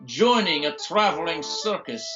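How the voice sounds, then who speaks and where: An actor playing a smug, gloating villain doctor in an audio drama, pompous and taunting in a theatrical, deliberate way. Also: male voice, fairly high pitch, lively intonation, highs cut off, over music. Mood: mocking.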